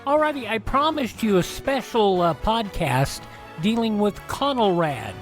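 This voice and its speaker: Male podcast host, higher voice, informal and halting, faint music underneath. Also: very animated. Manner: informal, halting with frequent uhs. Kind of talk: casual introduction to old radio programmes.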